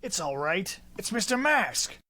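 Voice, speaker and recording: An actor playing an eager, gallant 1940s flying ace in a pulp radio drama, full of chipper period slang. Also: male voice, high voice, very animated, a little slow, bright, forceful tone, heavily acted. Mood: tense.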